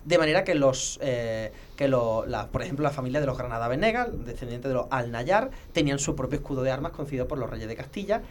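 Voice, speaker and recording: Fast-talking man explaining history. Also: medium pitch, lively intonation, slight background noise. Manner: lecturing, many filler pauses and restarts. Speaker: heraldry expert guest. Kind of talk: casual explanatory talk.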